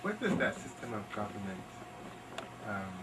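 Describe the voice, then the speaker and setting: A man talking reflectively and informally to an audience at a live event, pausing as he forms his thoughts. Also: on the low side, very animated. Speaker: pianist and discussion leader. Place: live event in a library room. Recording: distant, very noisy room recording.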